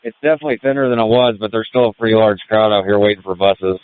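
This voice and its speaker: A man's voice: on the low side, fast, clear, resonant tone, no echo. A man working as an emergency medical responder, giving clipped, procedural status reports over a two-way radio. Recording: tinny narrow-band radio channel.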